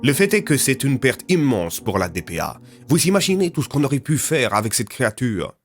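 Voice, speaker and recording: A man's voice: medium pitch, sing-song, fairly quick, faint music behind, voice pushed up. A man voicing a smug research director in an audio story. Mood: smug, insistent. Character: smug male research director with a Germanic touch.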